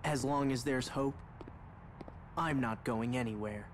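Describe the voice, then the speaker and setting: A man's voice: mid-range voice, unhurried, slight background noise, lightly acted. A man playing a plucky, stubbornly hopeful young video game hero, earnest and defiant. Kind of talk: dramatic video game voice acting. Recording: loud music behind the voice.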